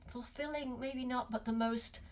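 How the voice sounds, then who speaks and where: A woman's voice: a little high, steady tone, moderate pace. An older woman with a Liverpool accent, a guest in a casual interview, talking reflectively with many restarts. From Liverpool, England.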